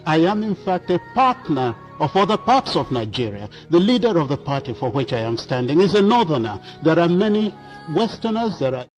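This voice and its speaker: A man giving a formal political statement, measured and deliberate, leaning on single words. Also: on the high side, very animated, soft background music.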